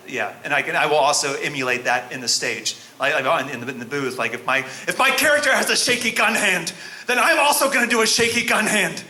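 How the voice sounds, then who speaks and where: A man's voice: medium pitch, sharp tone, slight background noise. A man voice actor explaining his process at a convention panel, thoughtful and full of fillers. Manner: thoughtful, hedging with many fillers. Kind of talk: casual convention Q&A answer.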